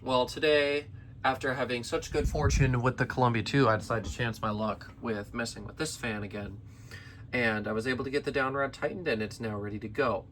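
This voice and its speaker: A man's voice: mid-range voice, steady tone, brisk, a bit bright. Young man, tired voice, casual rambling vlog, phone camera in a basement, faint background hum. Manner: rambling, eager, with many restarts. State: tired, slightly worn voice. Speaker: ceiling fan collector. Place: basement collection room.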